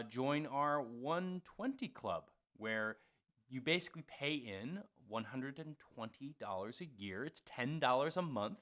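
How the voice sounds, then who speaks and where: Bright, forceful man making a casual appeal. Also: mid-range voice. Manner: informal, halting, apologetic. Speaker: theater show host.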